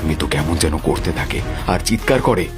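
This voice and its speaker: A man's voice: a bass voice, animated, moderate pace, over music. A man narrating a scripted horror story.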